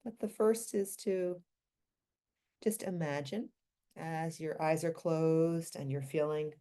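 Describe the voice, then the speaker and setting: Woman, low pitch, soft mellow timbre, guided meditation, gentle delivery, unhurried short phrases, long pauses. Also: fairly even intonation.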